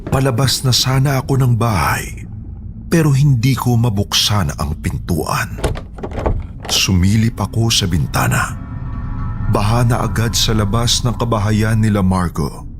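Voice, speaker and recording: A man with a Filipino accent reading scripted first-person horror narration. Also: on the low side.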